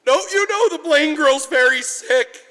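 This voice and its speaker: An actor playing a drunken, grieving old druggist in a harsh, anguished 1940s radio drama manner. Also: male voice, extremely high pitch, expressive, a little slow, bright, forceful tone, exaggerated voice. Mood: drunken rage. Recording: reverberant church hall, live stage.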